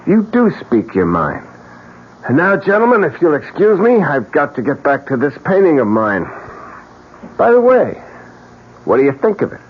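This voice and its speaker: An actor playing an eccentric, sardonic painter with a theatrical, mock-courteous manner in a radio drama. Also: male voice, on the high side, huge pitch swings, moderate pace, pauses often. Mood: amused, courteous. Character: eccentric, sardonic male painter, cheerfully morbid. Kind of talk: old-time radio drama acting. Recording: muffled, faintly hissy old radio recording.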